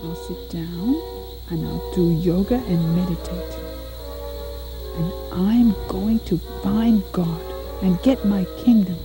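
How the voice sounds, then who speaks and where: A woman's voice: lowish voice, slow pace, over music, rough recording. A woman voicing a proud, hurt young boy prince with great determination in a puppet show. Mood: brave, determined. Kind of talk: puppet show dramatic acting.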